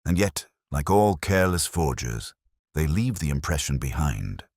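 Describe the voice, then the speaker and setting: A man's voice: very deep voice, big pitch swings, moderate pace, a little mellow, dry recording, full character voice. A man voicing a grave, brilliant detective in an audiobook story, with clipped, solemn, theatrical pronouncements and a scornful edge. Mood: intent, deducing.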